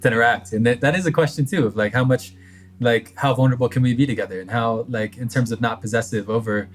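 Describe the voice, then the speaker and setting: A man's voice: mid-range voice, fast. A man as a podcast guest, speaking reflectively and hesitantly, with many fillers as he thinks aloud. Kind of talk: reflective conversation.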